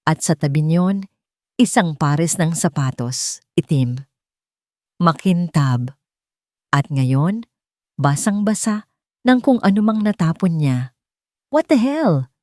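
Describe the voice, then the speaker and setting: A woman narrates a scripted romance story in short, suspenseful, deliberate phrases. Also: sits low, expressive, darkish tone, no room sound, studio quality. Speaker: narrator of romance audio stories.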